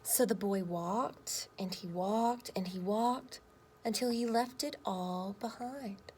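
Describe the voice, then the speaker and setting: A woman's voice: medium pitch, slow. A woman speaking as a teacher, reading a storybook aloud and talking to children. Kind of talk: children's storybook read-aloud and lesson talk. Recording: clear background noise.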